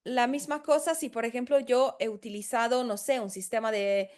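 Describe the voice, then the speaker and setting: A woman's voice: on the high side, steady tone, normal speed, a little mellow. A woman who is not a native Spanish speaker, a consultant explaining in a tutorial, hesitant with many fillers and restarts. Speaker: senior professional services consultant.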